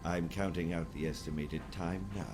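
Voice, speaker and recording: Man acting a deep, coolly formal alien officer. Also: steady tone, over loud music, natural voice. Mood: calm, commanding. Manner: measured, formal, commanding. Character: calm, formal, calculating male alien naval lieutenant. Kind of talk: audio comic dub acting.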